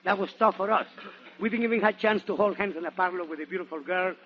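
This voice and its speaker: Male comic, Nicaraguan Spanish accent, high voice, exclamatory 1940s radio monologue style, slightly noisy vintage recording. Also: fast talker, highs cut off. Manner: theatrical, animated, exclamatory. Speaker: guest comedian and entertainer on a radio show. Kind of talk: 1940s radio comedy monologue before a live audience.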